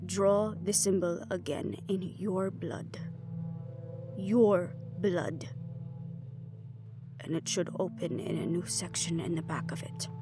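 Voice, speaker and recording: Woman acting a slow, grudging vampire elder. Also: on the low side, music in the background. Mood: resigned. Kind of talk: audio roleplay acting.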